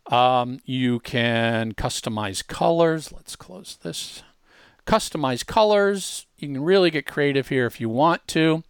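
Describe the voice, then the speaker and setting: A man hosting a casual software walkthrough, explaining informally and speaking straight to the listener. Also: medium pitch, expressive, takes it slowly, clean tone, dry recording, clean studio sound. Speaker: host demonstrating software.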